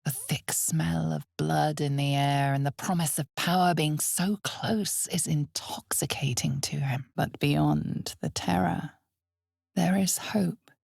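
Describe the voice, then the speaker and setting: An ominous, all-knowing narrator for a fantasy video game, in a very low, breathy female voice. She speaks slowly and theatrically, often sinking toward a whisper, close and dry as if right at the ear. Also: a little mellow, no room sound, heavily acted. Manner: measured, theatrical, ominous storyteller delivery. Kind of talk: dramatic second-person fantasy narration.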